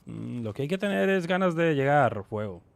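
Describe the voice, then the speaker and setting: A man's voice: on the high side, lively intonation, moderate pace, creaky. A Colombian man streaming a tank game live, chatting with his viewers and muttering game noises between remarks. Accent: Colombian Spanish. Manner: mutters game noises and chats with viewers, mixing English and Spanish. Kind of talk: live gameplay commentary.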